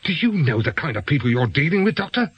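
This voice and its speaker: A man's voice: fairly high pitch, big pitch swings, fast, slightly rough, dry recording, dull audio, exaggerated voice. An actor playing a blunt, probing ex-policeman in a radio drama, with terse questions and plain colloquial speech. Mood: urgent, wary. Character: blunt, probing ex-policeman turned amateur investigator. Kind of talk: naturalistic radio drama acting.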